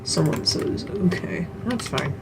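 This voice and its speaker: Gravelly muttering game streamer. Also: mid-range voice, expressive, a little slow, noisy background. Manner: mutters to self, casual swearing. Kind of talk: casual gameplay commentary.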